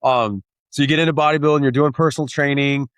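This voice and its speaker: A man hosting a podcast who asks relaxed, curious follow-up questions. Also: medium pitch, fast, clean tone, no room sound. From Tennessee, USA. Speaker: podcast host. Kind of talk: conversational interviewing.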